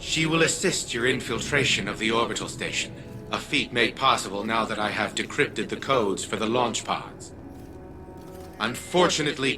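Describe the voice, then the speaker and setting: An actor playing a haughty, calculating old scientist in a video game, speaking formally and imperiously over music. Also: male voice, medium pitch, natural voice. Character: haughty, calculating elderly male scientist patriarch. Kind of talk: video game cutscene acting. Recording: music behind the voice.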